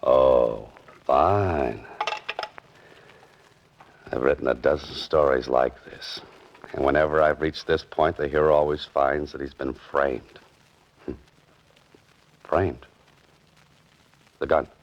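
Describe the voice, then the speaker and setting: An actor playing a wry young fiction writer caught up in crime, in 1940s radio style with an American accent and a sardonic, hard-boiled delivery. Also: male voice, a bass voice, fairly even intonation, slow, long pauses, dull audio, exaggerated voice. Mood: wry, brooding. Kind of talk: 1940s radio acting, first-person noir narration.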